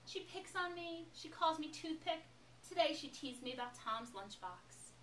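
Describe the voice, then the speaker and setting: A woman puts on an anxious little schoolgirl in a children's storybook. The voice is high and plaintive, protesting in a worried way. The recording is echoey and distant. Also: expressive, a little slow, a bit bright. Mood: upset, plaintive. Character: anxious, worrying little first-grade girl. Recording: echoey, noisy room, distant microphone.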